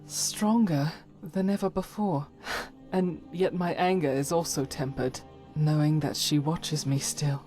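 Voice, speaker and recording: A woman playing a devout warrior in a game mod, earnest and solemn, with halting formal phrasing and breathy whispers. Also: low voice, steady tone, warm tone, soft background music. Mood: resolute, grateful. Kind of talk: dramatic video game mod voice acting.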